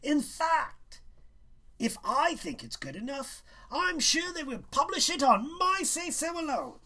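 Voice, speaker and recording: A man's voice: very high voice, sing-song, measured pace, sharp tone, pitched above the performer's own voice, full character voice. A man voicing a stern, vain male schoolteacher in a storybook reading, speaking in a pompous, condescending and emphatic way.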